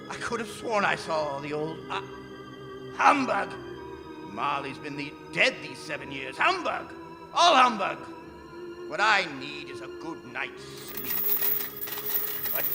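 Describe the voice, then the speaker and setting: A man's voice: a little high, full character voice. A man acting a bitter, grumbling old miser in a radio drama, with theatrical, barking delivery. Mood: fearful disbelief. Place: performing arts theatre stage. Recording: reverberant hall stage recording.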